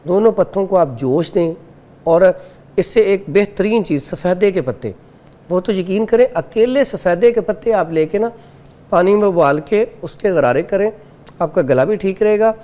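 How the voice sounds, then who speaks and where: A man's voice: high-pitched, expressive, normal speed, dark tone. Earnest man listing home remedies. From Pakistan. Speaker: herbal remedy expert guest. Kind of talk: advice in studio interview.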